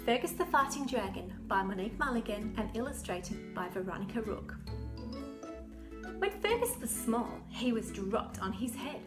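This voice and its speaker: A woman's voice: fairly high pitch, lively intonation, moderate pace. A woman author reading a children's storybook aloud with dramatic, playful expression. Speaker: author reading own book. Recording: roomy echo, music behind.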